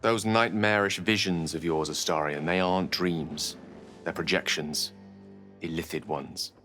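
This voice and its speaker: A man playing a grave, thoughtful adventurer in a fantasy video game, earnest and deliberate. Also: sits low, steady tone, a bit bright, soft background music. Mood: grave concern. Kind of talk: video game dialogue acting.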